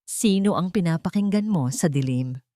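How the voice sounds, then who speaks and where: A woman narrator plays a cold and menacing husband from a Tagalog-style melodrama audiobook. The voice is low, slow and deliberate, full of scorn. The sound is close and dry. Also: lively intonation, dark tone, dry recording, natural voice. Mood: cold, suspicious. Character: cold, menacing, mocking husband of a rich mansion. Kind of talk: audiobook drama dialogue.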